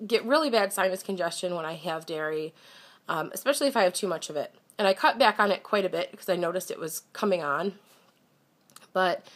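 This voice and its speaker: A woman's voice: medium pitch, fairly bright tone. A young woman talking candidly to her camera in a casual vlog, congested and a little phlegmy, chatty with a slightly defensive edge. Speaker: vlogger.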